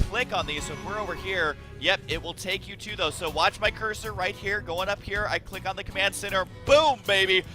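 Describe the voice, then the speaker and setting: A man commentating a video game match from his room, excitable and quick to exclaim, his voice raspy from just waking up. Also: sits high. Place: his own room at home.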